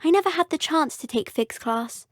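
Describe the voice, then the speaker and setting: Earnest schoolgirl game character, conversational, fairly high pitch. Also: expressive, moderate pace, dry recording, studio quality. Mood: curious, puzzled. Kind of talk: video game character acting.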